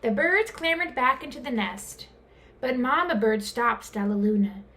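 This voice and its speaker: A woman reading a children's storybook aloud in an expressive, dramatic way, drawing out words. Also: on the high side, moderate pace. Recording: clear background noise.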